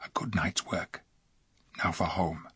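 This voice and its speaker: A man playing a stern noble king in a storybook reading, with solemn old-fashioned speech, low and hushed. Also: fairly even intonation, unhurried, husky, no room sound, clean studio sound, heavily acted. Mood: grave.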